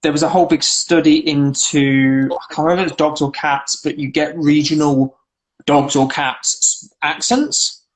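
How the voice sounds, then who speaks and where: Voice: mid-range voice, fairly even intonation, normal speed, rough recording. An English speaker from England, a guest on a livestream, chatting casually and telling rambling anecdotes full of fillers and restarts. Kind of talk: casual chat.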